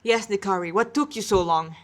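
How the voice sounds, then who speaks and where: A woman's voice: mid-range voice, brisk, lightly acted. Woman as a worried, stern male guild boss. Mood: brisk. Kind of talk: dramatic amateur audio story.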